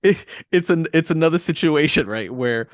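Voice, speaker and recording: A man's voice: fairly high pitch, lively intonation, moderate pace, no room sound. Casual male podcast co-host, dry close sound. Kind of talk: casual movie discussion.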